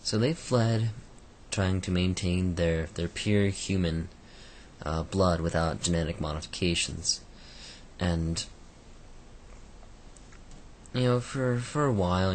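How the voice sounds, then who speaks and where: Croaky deep-voiced man rambling. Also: a little hiss or hum. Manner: rambling, many fillers and restarts. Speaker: book reviewer. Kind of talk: casual spoken book review.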